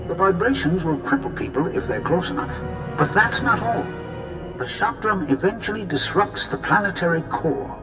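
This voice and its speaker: An actor playing an eager weapons scientist in a video game, explaining things in a precise, lecturing manner. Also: male voice, sits high, lively intonation, normal speed. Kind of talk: video game cutscene acting. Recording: loud music behind.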